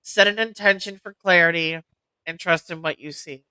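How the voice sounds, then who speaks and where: A woman reading oracle card meanings aloud as host, in a measured, deliberate way with an occasional stumble. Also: lowish voice, sharp tone, clear, resonant tone, no echo, poor audio.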